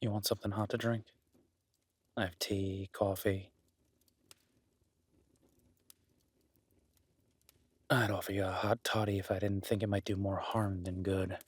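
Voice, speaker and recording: Male actor as kindly forest cabin dweller, low-pitched, even intonation, unhurried, wryly reassuring, audio drama roleplay. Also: slightly rough, no echo. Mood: caring, reassuring. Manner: soft-spoken, unhurried, reassuring, wryly joking. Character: gruff-kind woodsman host, gently teasing, protective cabin dweller. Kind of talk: roleplay audio drama, intimate one-sided conversation.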